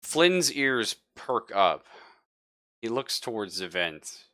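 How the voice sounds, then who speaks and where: A man's voice: lowish voice, sing-song, slow pace, bright, forceful tone. A man acting as game master, narrating a live tabletop roleplaying scene in suspenseful second person.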